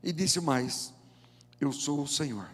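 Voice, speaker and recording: A Brazilian man in his seventies preaching a sermon with deliberate, emphatic phrasing and rhetorical pauses. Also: on the high side, animated, fairly bright tone. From João Pessoa, Paraíba, northeast Brazil. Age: 70s. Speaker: pastor, preacher. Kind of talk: sermon with scripture reading and prayer. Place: large indoor church auditorium. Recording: long hall reverb of church auditorium.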